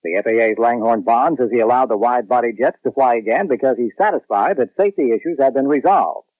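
Brisk American male radio newscaster. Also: mid-range voice, animated, dry recording. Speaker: network radio news anchor. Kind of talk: scripted newscast reading. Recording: dull, dry old radio broadcast.

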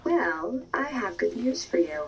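An actress playing a calm, dutiful android caretaker in an audio drama, polite, composed and clinical. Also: female voice, mid-range voice, unhurried, slight background noise, poor audio. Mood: gentle, composed. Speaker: actor in audio fiction.

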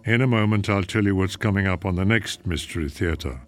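A man's voice: low voice, fairly quick. A man hosting a radio program in a scripted presenting style. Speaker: host. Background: music.

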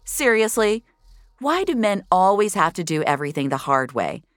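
A woman voicing a tough, sardonic female assassin on the run in an audio drama, calm, dry and wisecracking. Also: medium pitch, normal speed, dry recording, voice pushed up, natural voice. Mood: wry. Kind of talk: dramatic audio fiction dialogue.

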